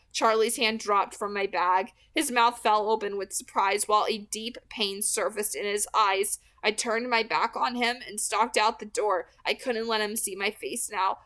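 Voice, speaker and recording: A woman's voice: mid-range voice, fairly bright tone. A woman reading a novel aloud as an amateur audiobook narrator, with expression.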